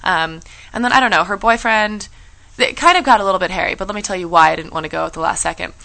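Young woman, fast talker, bright voice, animated storytelling. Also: mid-range voice, creaky, low-quality recording. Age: young adult. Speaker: show host. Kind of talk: casual chat.

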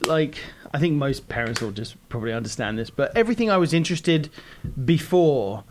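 A man's voice: mid-range voice, expressive, brisk, noisy background. A man from London with an English accent, a film director talking earnestly in a casual interview, often restarting his sentences. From London, England. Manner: earnest, hesitant, with frequent restarts.

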